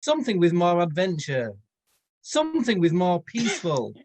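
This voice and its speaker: A man reading a comic story aloud, expressive, with stumbles and self-corrections along the way. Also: sits high, moderate pace, heavy background noise. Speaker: comedy performer reading fan fiction aloud.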